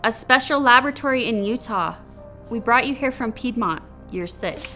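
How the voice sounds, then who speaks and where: Female lab doctor, played by an actress: inquisitive, clinical, lively pitch, forward bright tone, radio drama. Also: normal speed, faint music behind. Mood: reassuring. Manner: questioning, exclaiming, clinical. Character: brisk, inquisitive female doctor in a secret laboratory.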